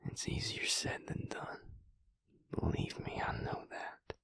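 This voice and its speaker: Audio roleplay vampire mate, played by a man: weary, tender, reassuring, half-whispered and gravelly, in a very dry, close sound. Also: no room sound. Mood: gentle, reassuring. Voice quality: breathy, gravelly low voice. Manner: hushed, slow, deliberate, reassuring. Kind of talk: intimate audio roleplay monologue.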